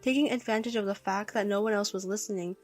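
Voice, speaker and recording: A woman's voice: medium pitch, fast, faint music behind. A woman reading a scripted first-person story as narrator.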